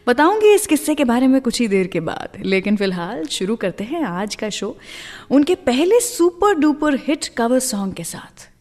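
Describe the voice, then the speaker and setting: A woman's voice: on the high side, a little mellow, in a large hall. An Indian woman hosting a radio music show in a chatty, conversational way, slipping English phrases into her talk.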